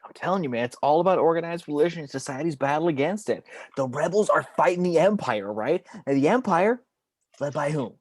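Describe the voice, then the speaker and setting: Guy playing a fervent conspiracy-minded ranter in a script read, husky, swoops all over, close dry sound. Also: a little high, animated, brisk, dry recording. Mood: fervent, lecturing. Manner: emphatic, lecturing with rhetorical questions. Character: fervent, conspiratorial male ranter with a pet theory. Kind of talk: table read of a film script.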